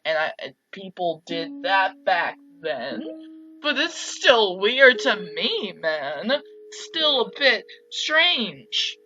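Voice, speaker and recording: A woman playing a visual novel and commenting casually, with slangy, expressive reactions. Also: mid-range voice, drawn-out words, highs cut off, faint music behind. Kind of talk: casual let's play commentary.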